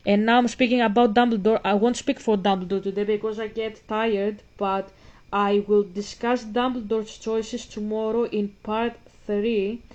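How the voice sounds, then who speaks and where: A woman's voice: medium pitch, level tone, normal speed, darkish tone, lo-fi sound. A woman in her twenties with a Greek accent, hosting a casual commentary, rambling with many restarts and repeats. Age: 20s. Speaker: host.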